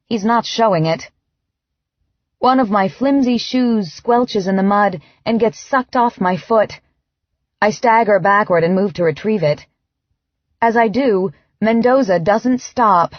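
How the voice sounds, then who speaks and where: A woman reading first-person fiction as an audiobook narrator, in an expressive, dramatized way. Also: medium pitch, no room sound, muffled recording.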